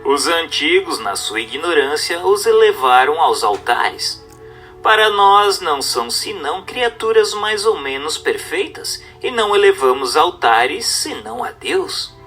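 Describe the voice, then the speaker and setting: A man's voice: on the high side, big pitch swings, measured pace, bright, forceful tone, soft background music. A Brazilian man reading a scripted spiritual text in a formal, instructive manner. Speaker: narrator. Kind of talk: scripted narration of a spiritist book.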